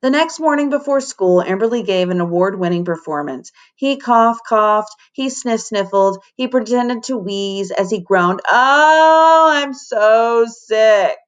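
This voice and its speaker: A woman narrating a children's story as a teacher reading aloud, animated and dramatic. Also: medium pitch, moderate pace, hardly any pauses.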